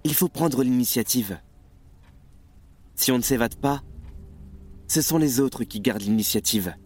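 A man plays a revolutionary who has lost his nerve, in radio theatre. His medium-pitched voice is weary, sombre and confessional, reproaching himself over faint music. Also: a little slow, darkish tone, close to an everyday voice. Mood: resigned, bitter. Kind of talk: French radio theatre acting.

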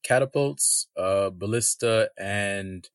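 A man's voice: lowish voice, slow, dry recording, studio quality. A man guesting on a podcast, easygoing and laughing between his points. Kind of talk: casual chat.

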